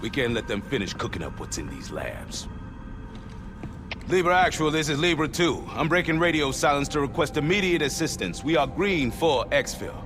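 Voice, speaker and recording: An actor playing a seasoned, gruff older sergeant major with blunt military talk in a cinematic game. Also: male voice, a little high, big pitch swings, moderate pace, over music. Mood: urgent, resolute.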